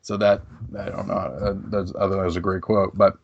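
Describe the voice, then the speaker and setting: A man's voice: deep voice, level tone, fairly quick, vocal fry, highs cut off, slight background noise. A man speaking as a guest in a casual podcast discussion, rambling with many fillers and restarts.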